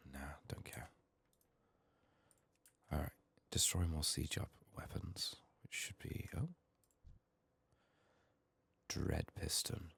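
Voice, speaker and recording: A man giving soft-spoken, partly whispered ASMR commentary on a game he is playing, murmuring short remarks to himself. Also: rumbling low, vocal fry, slightly rough. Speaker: game streamer. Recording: keyboard and mouse clicking noise. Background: computer keyboard typing and clicks.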